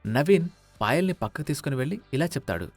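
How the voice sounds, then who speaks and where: A male storyteller reads a scripted moral tale at a quick pace. His voice sits a little high and is recorded dry, with faint music behind. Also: animated, a little mellow, no room sound. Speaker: story narrator.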